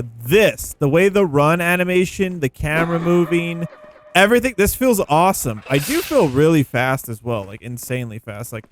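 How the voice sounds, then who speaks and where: Higher-pitched man doing casual gameplay commentary. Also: slight background noise. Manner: informal, thinking out loud. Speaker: gaming video creator. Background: background music.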